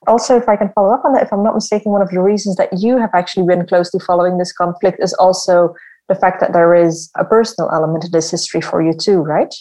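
A woman podcast host with a slightly low, mellow voice. She talks conversationally, often rephrasing as she works her way toward a question. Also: steady tone, fast, rough recording. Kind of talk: conversational hosting.

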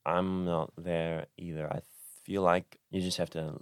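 A man's voice: deep voice, level tone, measured pace, smooth, no echo, studio quality. A young man speaking as a podcast guest in an easygoing, laid-back way. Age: young adult. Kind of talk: casual chat.

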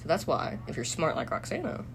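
Low-voiced young woman host. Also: wildly swooping pitch, brisk, gravelly, background noise. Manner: laughs a lot, teasing. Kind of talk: casual interview chat.

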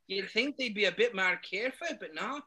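A man reading a story aloud, putting on a stern, irritable middle-aged Scottish witch in a high falsetto, set back from the mic. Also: lively intonation, a little fast, sharp tone, exaggerated voice. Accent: Scottish, put on. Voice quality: high strained falsetto with thick Scots words.

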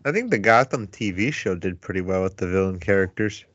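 A man's voice: low voice, animated, brisk, a bit bright, no room sound. A man around thirty co-hosting a podcast, chatting playfully. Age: 30s. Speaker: podcast co-host. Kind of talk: casual group chat. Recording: dry dull lo-fi sound.

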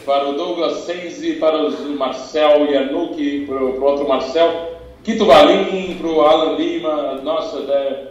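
A man's voice: on the high side, fairly even intonation, unhurried, recorded from a distance, muffled recording. Wisecracking man, off-mic and echoey. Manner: wisecracking, sarcastic. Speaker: podcast co-host. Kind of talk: casual chat.

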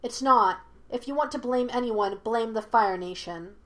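A proud young warrior princess from a fantasy storybook, read by a woman. She sounds stern and defiant, a fighter on her guard, in a fairly high voice. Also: normal speed, fairly bright tone, natural voice.

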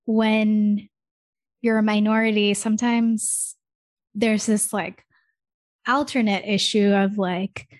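A woman's voice: medium pitch, steady tone, slow, long pauses, no room sound. Brief: woman, twenties, from Michigan, thoughtful casual talk with frequent fillers, dry close mic. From Michigan, United States. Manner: earnest, thoughtful, many "like" fillers. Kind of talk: casual conversation.

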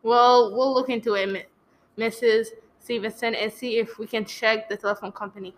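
A woman's voice: a little high, fairly even intonation, normal speed, close to an everyday voice. A woman playing a bored, noncommittal police desk sergeant in a radio play, perfunctory and hesitant. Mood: dismissive.

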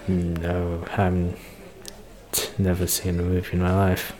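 A man playing a shy, flirtatious celebrity in an ASMR romance roleplay, speaking hesitantly with nervous soft laughs. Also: sits low, monotone, a little slow. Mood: bashful, awkward. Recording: bar crowd chatter behind voice. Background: crowd noise of a bar.

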